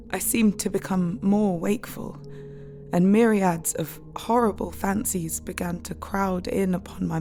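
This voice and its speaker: An actress playing a brave but distressed young Victorian wife, speaking solemnly and tenderly in an audio drama. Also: female voice, on the low side. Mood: haunted, measured. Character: brave, distressed gentle young married Victorian woman, Mina.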